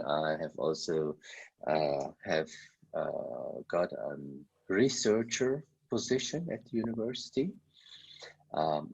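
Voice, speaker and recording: Deep slow halting lecturer. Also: male voice, breathy edge, dull audio. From Germany. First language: German. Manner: halting, searching for words, many fillers. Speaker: university lecturer. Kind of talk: online university lecture.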